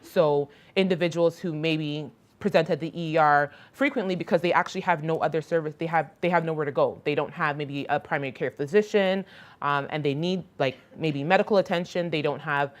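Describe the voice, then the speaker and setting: A woman's voice: deep voice, a little fast. A woman giving a conference presentation about a crisis outreach program, speaking hesitantly with frequent ums and restarts. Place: hospital conference room.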